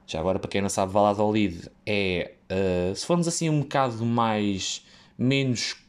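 A man's voice: fairly low pitch, normal speed. A young man with a European Portuguese accent tells a casual, rambling story about his work as a waiter, full of fillers, restarts and side tracks.

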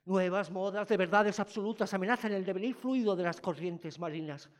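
A man from performing spoken-word poetry in a theatrical, expressive way. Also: high-pitched, a little fast. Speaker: slam poet.

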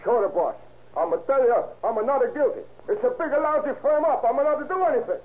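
A man's voice: very high-pitched, brisk. A man playing a hot-tempered Italian immigrant gangster with broken English and an Italian accent in 1930s radio crime drama. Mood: indignant pleading. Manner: broken English, pleading and threatening outbursts. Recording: tinny, band-limited old radio recording.

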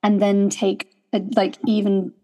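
A woman's voice: medium pitch, monotone, unhurried, muffled recording, faint music behind. Woman podcast host, thoughtful and affirming. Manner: warm affirming listener, thoughtful, "like" fillers. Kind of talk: casual podcast conversation.

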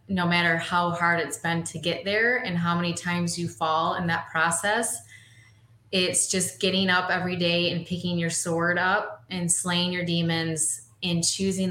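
A woman's voice: on the low side, sharp tone. A woman from Michigan, a coach and guest on a podcast, speaking in an earnest, motivational way. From Michigan, USA. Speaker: guest, social media and women's coach. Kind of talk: podcast interview. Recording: roomy echo.

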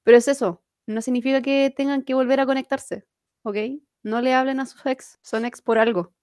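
Higher-voiced Chilean woman, slangy and animated. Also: moderate pace, no echo, studio quality. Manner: slangy, sweary, informal. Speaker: podcast co-host. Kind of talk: casual chat.

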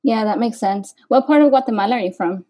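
A woman's voice: on the high side, quick pace, mellow. A young woman interviewing a guest in a friendly, chatty way, often laughing. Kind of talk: casual interview.

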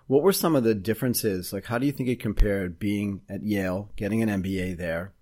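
Man, podcast host, somewhat low voice, conversational questions. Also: brisk, a little mellow. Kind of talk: interview.

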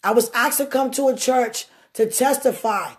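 A woman with a deep voice giving an animated testimony and preaching, swinging from loud bursts to hushed asides. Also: moderate pace.

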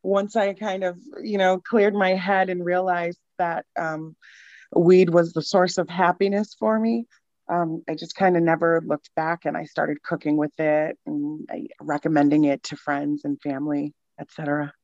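A low-voiced woman from the Boston area talks freely as a podcast guest, padding her thoughts with ums and laughing often. The sound is dry and a little dull. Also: little pitch movement, moderate pace, darkish tone, no room sound. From Boston area, Massachusetts. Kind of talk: casual interview chat.

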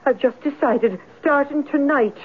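An actress playing an anxious, stubborn rooming-house landlady in an old radio drama, speaking in a hesitant, insistent way with a husky voice. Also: sits high, unhurried, slight background noise. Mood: firm, warning. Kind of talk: old-time radio acting. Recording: narrow, phone-like old radio recording.

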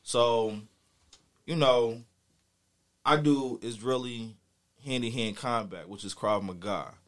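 A man's voice: medium pitch, slow. A man with a US East Coast accent telling a story in casual chat, slangy, profane and boastful.

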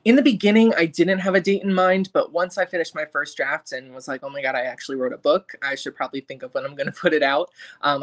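A man in his mid-twenties, a guest author, answering interview questions in a friendly, casual way with frequent ums. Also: a little high. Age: 20s. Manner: friendly, many ums, lively swings in loudness.

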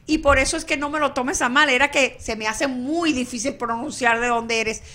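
A woman's voice: sits high, moderate pace, bright, forceful tone. A woman hosting a radio chat show, chatty and effusive, laughing easily as she talks. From Venezuela. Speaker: radio host.

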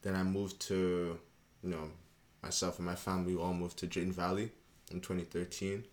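A man's voice: low-pitched, level tone, brisk. A young man from Canada, chatting loosely as a podcast guest and often checking in with "you know what I mean". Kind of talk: casual interview chat.

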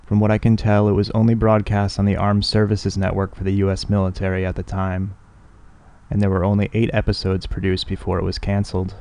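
A man hosting an old-time horror radio show, speaking in an ominous, theatrical way straight to the listener. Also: fairly low pitch. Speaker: podcast host. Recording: music behind the voice. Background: loud music.

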